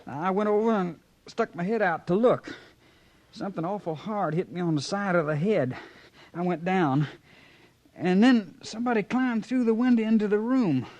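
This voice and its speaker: Actor as a high-voiced, groggy young cowhand. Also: male voice, darkish tone, close to an everyday voice. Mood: dazed, rueful. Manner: halting, groggy. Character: dazed, apologetic young cowhand. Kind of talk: 1950s radio western acting.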